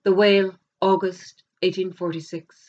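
A middle-aged woman with an Irish accent, a poet reading verse aloud in a measured, deliberate way. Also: lowish voice, flat delivery, a little mellow. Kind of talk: poetry reading. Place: plain indoor room against a bare wall. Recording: dull lo-fi camera sound.

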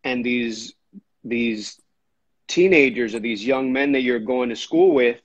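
A man hosting an interview, asking thoughtful, measured questions over a video call. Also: mid-range voice, lo-fi sound. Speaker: interviewer host.

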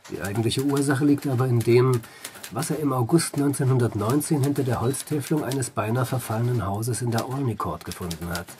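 An actor playing a grave, melancholic doctor with a measured, understated delivery in a German radio play. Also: male voice, medium pitch, darkish tone, slight background noise, lightly acted. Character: grave, melancholic family doctor recounting a patient's fate.

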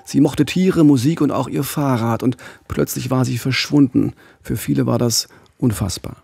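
A middle-aged man co-hosting a true-crime podcast, speaking gravely and in a measured way into a close studio mic. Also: mid-range voice, darkish tone, dry recording. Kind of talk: podcast storytelling. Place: small radio studio. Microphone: close studio mic with pop filter.

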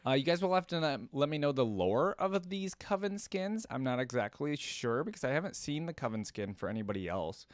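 A man's voice: fairly high pitch, lively intonation, a little fast, few pauses, no room sound, clean studio sound. A young man hosting a reaction video, talking casually and curiously as he comments along. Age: young adult. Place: indoor room at a desk, gaming chair. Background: faint music and game audio.

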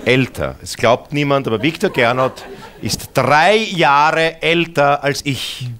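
A man with a Viennese accent doing live cabaret, trading quick, exasperated lines with a heckler. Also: fairly high pitch, noisy background. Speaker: cabaret performer. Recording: live stage recording with audience laughter.

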